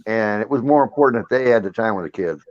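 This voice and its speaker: A man's voice: on the low side, expressive, quick pace, no room sound, highs cut off. An older man who retired from law enforcement, chatting as a guest in a friendly, agreeable way. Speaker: chat guest, retired police officer.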